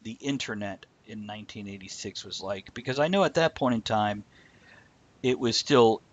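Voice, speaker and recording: A man's voice: on the low side, normal speed, dull audio. A man hosting a casual, conversational live-streamed talk show.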